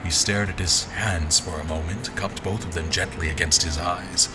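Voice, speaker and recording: An actor playing a grandiose, messianic zealot commander who speaks and narrates solemnly in a dramatic audio fiction. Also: male voice, low-pitched, steady tone, over music, lightly acted. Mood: solemn, ominous. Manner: solemn, deliberate, messianic speechmaking. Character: grandiose zealot commander, a self-proclaimed visionary leader.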